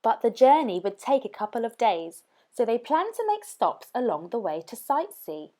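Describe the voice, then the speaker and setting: A woman reading a children's story aloud as narrator, with expressive, dramatic emphasis. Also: a little high, moderate pace, a little hiss or hum.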